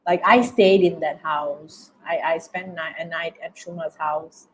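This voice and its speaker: A woman with a Bangladeshi accent speaks slowly in a slightly low voice. She answers interview questions reflectively, pausing to search for words and often repeating a phrase before she moves on. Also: steady tone, a little hiss or hum, poor audio. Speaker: interview guest, documentary filmmaker.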